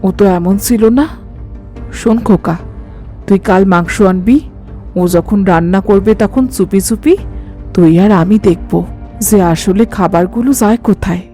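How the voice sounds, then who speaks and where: A woman's voice: medium pitch, a little slow, pauses often, dark tone, soft background music, natural voice. A woman voicing a stern, suspicious middle-aged mother-in-law in a cartoon, scolding and commanding. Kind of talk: Bengali cartoon voice acting.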